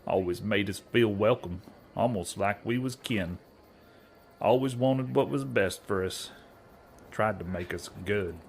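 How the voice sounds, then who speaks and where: Man acting a low-voiced, earnest cowboy bumpkin. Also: a little slow, vocal fry, a little hiss or hum. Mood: wistful. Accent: rural American Western drawl. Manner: folksy, ungrammatical bumpkin talk. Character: guilt-ridden, earnest young cowboy outlaw, naive bumpkin. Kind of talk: western comedy audio drama acting.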